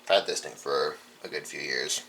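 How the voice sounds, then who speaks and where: A man's voice: extremely low pitch, moderate pace, sharp tone. A young man giving a casual, rambling show-and-tell of his collection in an informal way, recorded in a room. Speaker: radio collector. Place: indoor room. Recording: some background noise.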